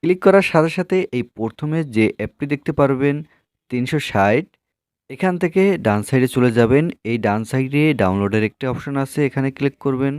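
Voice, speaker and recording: A man's voice: mid-range voice, brisk, a little mellow, smooth, no room sound, clean studio sound. A man hosting a tech tutorial, addressing viewers in an instructional, scripted way with repeated step-by-step phrasing. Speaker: tech tutorial video host.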